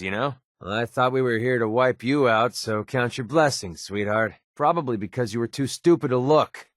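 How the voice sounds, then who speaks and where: A cynical young American gun-for-hire from a post-apocalyptic video game, acted by a man. His mid-pitched male voice swings freely up and down, recorded dry and close. He talks in a casual, streetwise way, heavy on sarcasm and wisecracks. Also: dry recording, close to an everyday voice. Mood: sarcastic. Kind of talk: video game companion dialogue.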